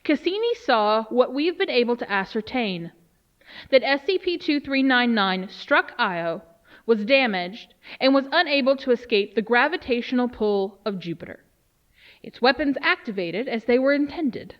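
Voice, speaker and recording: A woman playing a grave research official, delivering a solemn, measured dramatic briefing. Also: a little high, expressive, dull audio, natural voice. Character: grave female research official briefing on a doomsday alien threat. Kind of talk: dramatic scripted monologue.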